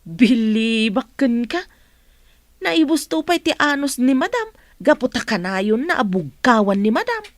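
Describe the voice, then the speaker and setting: An actress playing a blunt, gossipy female household helper in a radio drama, talking in an animated way. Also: on the high side, measured pace, dry recording. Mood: scolding, skeptical. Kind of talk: Ilocano radio drama acting.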